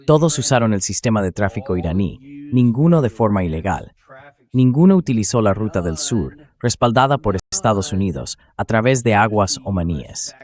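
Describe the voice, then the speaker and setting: A man's voice: fairly low pitch, expressive, normal speed, a little mellow. An AI-generated man's voice hosting conversational news commentary. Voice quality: synthetic AI translation voice. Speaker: host commentator.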